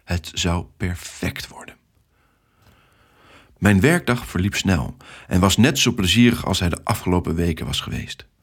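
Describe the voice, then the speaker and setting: A male storyteller with a lowish, husky voice narrates a children's tale in the first person. The delivery is scripted storytelling, told as the main character's own account. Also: lively intonation, unhurried, long pauses.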